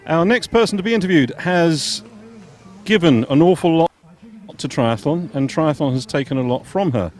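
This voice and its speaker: A man commentating live on a junior triathlon race and interviewing a coach, in a measured, informative way with occasional fillers. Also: a little high, expressive, darkish tone. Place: outdoors at a triathlon venue.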